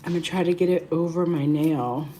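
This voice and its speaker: A woman in her thirties, hoarse and raspy from losing her voice, chatting casually to livestream viewers during a nail tutorial and greeting them by name. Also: deep voice, fairly even intonation, a little fast, mellow, slight background noise. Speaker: beauty livestream host.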